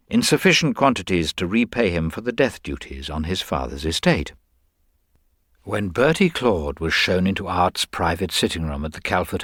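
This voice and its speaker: A man's voice: low voice, no room sound. A man giving a scripted story narration with dry wit, as the narrator of a tale.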